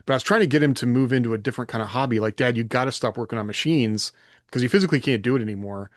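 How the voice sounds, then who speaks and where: A man's voice: medium pitch, rapid-fire, no echo, studio quality. A middle-aged man talking casually as a podcast host in a reflective, loosely rambling way.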